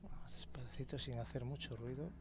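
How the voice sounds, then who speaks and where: A man's voice: medium pitch, fairly even intonation, a little fast. A young man with a Spanish accent commenting on a horror game as he plays, swearing casually and dropping to a whisper while sneaking. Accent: Spain. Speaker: let's play host. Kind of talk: gameplay commentary. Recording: game ambience noise behind.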